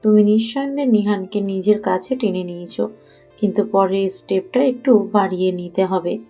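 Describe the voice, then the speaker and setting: A woman's voice: medium pitch, normal speed, mellow, faint music behind, natural voice. A woman acting a bossy, sly young woman who coaches her friend in an audio story, persuasive and assured. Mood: bossy, insistent.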